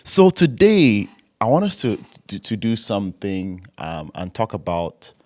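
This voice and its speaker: This is a man with a very deep voice hosting a church podcast. He talks casually and conversationally, often restarting his sentences and stretching out his phrases as he goes. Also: big pitch swings, a little slow. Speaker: podcast host.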